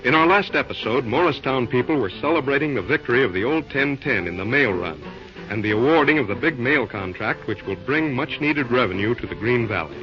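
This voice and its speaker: Brief: male radio announcer, formal and measured, mid voice, music under a dull old recording. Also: medium pitch, lively intonation. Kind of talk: scripted narration.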